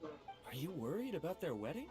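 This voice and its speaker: A man playing a friendly, keen young townsman in an anime game, earnest and expressive. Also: fairly high pitch, moderate pace. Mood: sympathetic, pensive. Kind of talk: anime game dub acting. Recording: music behind the voice. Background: game music.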